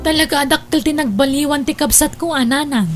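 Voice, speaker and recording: A woman's voice: high voice, fairly quick, over loud music, lightly acted. A woman with an Ilocano accent playing a protective, teasing older sister in a family audio drama. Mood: concerned. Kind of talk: Ilocano radio-style drama acting.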